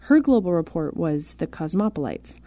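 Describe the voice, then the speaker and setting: A woman narrating scripted introductions in a measured, polished way. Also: lowish voice, sing-song, mellow, no room sound.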